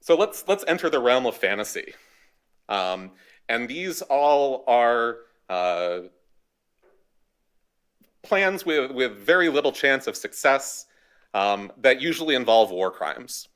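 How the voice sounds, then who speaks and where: A man speaking as a history panelist at a university event, giving a measured academic talk with a dry, wry touch. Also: mid-range voice, big pitch swings, a bit bright.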